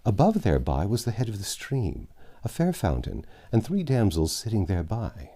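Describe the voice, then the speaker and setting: A man narrating a classic novel aloud, expressive with wry asides and occasional self-corrections. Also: fairly low pitch, moderate pace.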